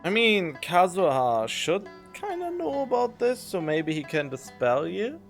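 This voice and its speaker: A man's voice: high voice, huge pitch swings, unhurried, gravelly. A man giving casual, joking commentary while playing a game, on a desk condenser mic. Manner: joking asides, drawn out. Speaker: let's play streamer. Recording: game music playing behind.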